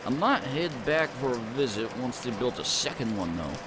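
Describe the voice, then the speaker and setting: A man's voice: mid-range voice, very animated, normal speed, noisy background, voice pushed down, close to an everyday voice. A man voicing a cheerful, folksy male hobo with an easygoing country drawl.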